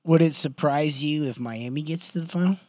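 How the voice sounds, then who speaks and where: A man's voice: a little high, fairly quick, darkish tone, no echo. A man co-hosting a podcast, chiming in with short, easygoing replies. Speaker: podcast co-host. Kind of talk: casual sports chat.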